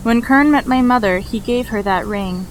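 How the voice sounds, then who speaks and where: A woman playing an older ancestral mage in a fantasy audio drama, speaking in a measured, formal and solemn way. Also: fairly high pitch, a little hiss or hum, lightly acted, low-quality recording. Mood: grave, knowing.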